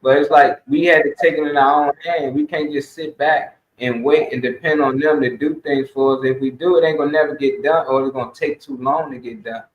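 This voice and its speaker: Echoey, high-voiced man talking emphatically. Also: steady tone, a little fast, few pauses. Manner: emphatic, exhorting, colloquial, swearing. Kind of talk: casual opinionated community talk. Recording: dull, echoey room sound.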